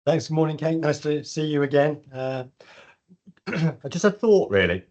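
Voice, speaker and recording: A man's voice: fairly high pitch, animated, moderate pace, darkish tone, muffled recording. A man with a British accent, a board member asking a question in a friendly, conversational way. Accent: British English.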